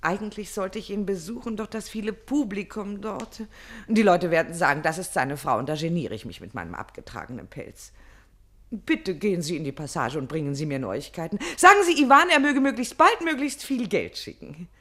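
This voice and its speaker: Twittering, coquettish young wife, very lively. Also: female voice, lowish voice. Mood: coyly pitying. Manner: twittering, coquettish, quickly wandering. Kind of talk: German radio play acting.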